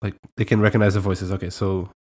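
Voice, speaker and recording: A man's voice: deep voice, fast. A young man from Portugal with a Portuguese accent, co-hosting a casual podcast chat with easygoing hesitations and laughter. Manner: relaxed, hesitant, laughs often. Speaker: podcast co-host.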